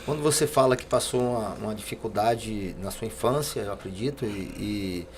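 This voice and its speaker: Gravelly man hosting, halting delivery. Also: fairly low pitch, background noise. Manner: halting, repeats words as he forms questions. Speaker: podcast host. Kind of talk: casual interviewing.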